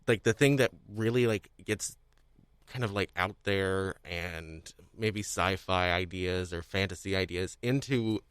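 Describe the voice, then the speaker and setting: A man with a low voice chats casually as a podcast co-host. He rambles, hedging and rephrasing midway through his sentences. The sound is dry, with hardly any room in it. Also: a little slow, fairly bright tone, smooth, no room sound. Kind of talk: casual discussion of storytelling.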